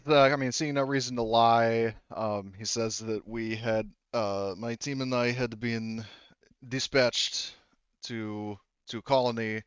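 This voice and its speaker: A man improvising as game master of a tabletop roleplaying game, narrating haltingly and relaying what characters say. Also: medium pitch, a bit bright, smooth, no echo, highs cut off. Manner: halting, speaks for characters in indirect speech.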